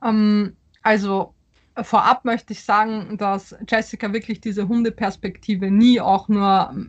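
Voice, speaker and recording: A woman whose first language was Polish answers interview questions, pausing often and restarting her sentences as she thinks aloud. The sound is slightly dull and lo-fi. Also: medium pitch, little pitch movement. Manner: thoughtful, hesitant, many fillers and restarts. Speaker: author.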